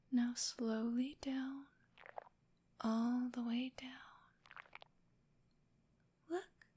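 A woman's voice: on the high side, darkish tone, slightly rough. A woman whispering breathily in an intimate adult ASMR roleplay, with a soothing, coaxing, affectionate manner.

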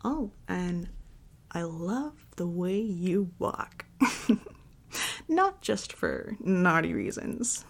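A woman playing a tender, devoted girlfriend and mommy dom in an intimate ASMR roleplay, breathy and often whispering as she soothes her partner. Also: fairly low pitch, sing-song, heavily acted. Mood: adoring. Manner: soft, soothing, slow and affectionate.

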